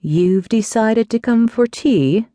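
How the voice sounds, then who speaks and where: A woman voicing a haughty, domineering older aunt who speaks slowly with scorn. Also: mid-range voice, sing-song, warm tone, no echo, pitched above the performer's own voice. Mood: disdainful. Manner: slow, disdainful, seething.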